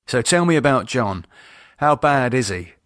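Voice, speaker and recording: A man's voice: mid-range voice, very animated, measured pace, fairly bright tone, dry recording, clean studio sound. A man playing an uneasy, reluctant man with a dryly sarcastic, hesitant manner in audiobook dialogue. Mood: guarded. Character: uneasy, sardonic adult man, wary and reluctant.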